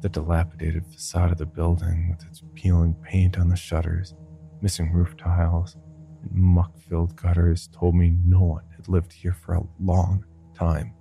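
A man's voice: sits low, monotone, normal speed, gravelly, dark tone, soft background music. A man narrating a scripted first-person horror story for a podcast.

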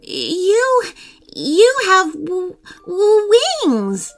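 An excitable little storybook boy, voiced by a woman, talks in a high, swooping voice. He sounds like a doubting kid brother, with music behind him. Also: slow pace, full character voice. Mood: startled. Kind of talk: children's storybook character voice.

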